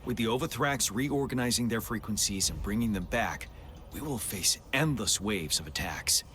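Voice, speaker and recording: A man playing a composed, resolute army general in a game cutscene, giving measured, authoritative military briefings. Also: on the low side, animated, fairly bright tone. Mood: grave, resolute. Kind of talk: scripted video game cutscene acting.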